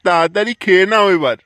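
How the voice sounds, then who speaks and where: Man voicing a gloating, high-voiced cartoon kidnapper. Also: a bit bright. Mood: falsely sweet. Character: gloating, lecherous male child kidnapper, greedy small-time villain. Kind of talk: amateur comic cartoon dubbing. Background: faint music.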